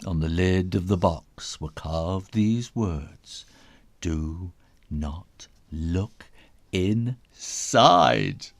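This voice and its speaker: A man's voice: low voice, animated, drawn-out words, vocal fry. A man reading a children's storybook in a theatrical, suspenseful way, stretching out words, dropping into whispers and playing with sound words. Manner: playful onomatopoeia and repetition. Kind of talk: dramatic children's storybook read-aloud.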